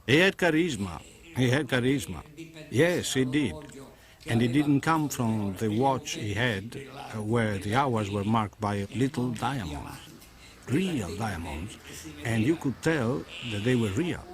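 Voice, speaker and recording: A man's voice: medium pitch, animated, unhurried, a crowd in the background. A man in an interview, speaking emphatically and repeating points for weight. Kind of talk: recalling in an interview.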